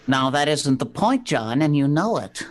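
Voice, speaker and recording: A man's voice: fairly high pitch, sing-song, normal speed, slight background noise. A man playing a folksy village official who firmly but placatingly keeps order in an amateur radio play. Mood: placating, admonishing.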